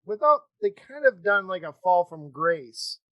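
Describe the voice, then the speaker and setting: A man hosting a casual interview chat, talking in a halting, rambling way with false starts. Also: high voice, big pitch swings, no room sound, dull audio. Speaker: podcast host.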